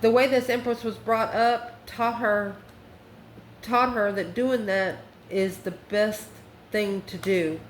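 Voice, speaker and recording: A woman's voice: medium pitch, fairly even intonation, slow pace. A woman giving a casual, improvised tarot reading as an online host, musing aloud as she goes through the cards. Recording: roomy echo with faint background noise.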